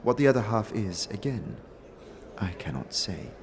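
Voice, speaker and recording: A man voicing a solemn, aloof mystic noblewoman with formal, measured speech and dramatic pauses. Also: on the low side, lively intonation, a little hiss or hum, close to an everyday voice. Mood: cryptic, solemn.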